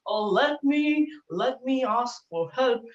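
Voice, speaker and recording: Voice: sits high, lively intonation, slow. A player voicing a small, kindly bee who hums and hesitates, heard over echoey, muffled call audio. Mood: fussing. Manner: humming, hesitant, fussing. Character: fussy, kindly little bee.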